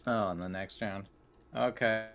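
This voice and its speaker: A man's voice: on the low side, fairly even intonation, unhurried, lo-fi sound, a little hiss or hum. A man playing a tabletop roleplaying game, casually talking through the rules. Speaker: tabletop RPG player.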